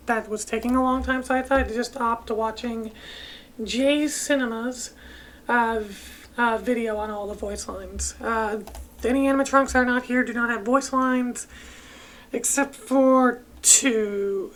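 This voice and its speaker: Higher-pitched woman doing game commentary. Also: fairly even intonation, normal speed. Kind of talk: casual let's play commentary.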